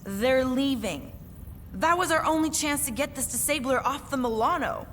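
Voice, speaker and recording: Stern high-voiced alien warrior. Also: female voice, moderate pace, a bit bright, a little hiss or hum. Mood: urgent. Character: stern, urgent female alien warrior crewmate. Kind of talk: video game cutscene acting.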